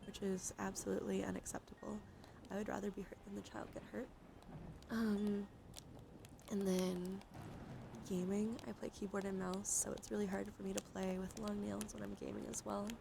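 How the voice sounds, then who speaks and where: A woman hosting an ASMR livestream, speaking softly and deliberately, often slipping into whispers and gently repeating phrases. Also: medium pitch, little pitch movement. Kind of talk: ASMR, slow soft talk to live chat. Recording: clearly audible background noise.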